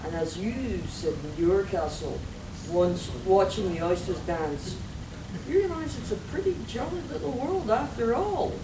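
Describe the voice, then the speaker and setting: A woman's voice: medium pitch, expressive, measured pace, natural voice. A woman performing an arch, mock-dramatic narrator of a theatrical radio serial. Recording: echoey, noisy hall recorded from far off.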